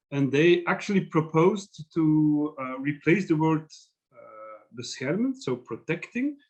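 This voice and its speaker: A man's voice: on the high side, darkish tone. Flemish man lecturing over a dull call line. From Belgium. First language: Dutch. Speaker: guest lecturer. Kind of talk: online academic lecture. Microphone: video call. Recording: muffled online call audio.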